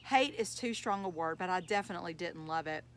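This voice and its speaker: A woman's voice: fairly low pitch, a little fast, a little hiss or hum, close to an everyday voice. A woman voicing a boy's doting, easygoing father in a storybook, affectionate and coaxing. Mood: casual.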